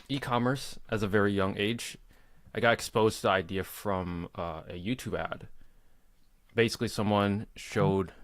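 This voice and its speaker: A man in his early twenties hosting a casual live Q&A, talking in a relaxed, rambling way with fillers and small laughs. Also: on the low side, no room sound. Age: 20s. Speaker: host.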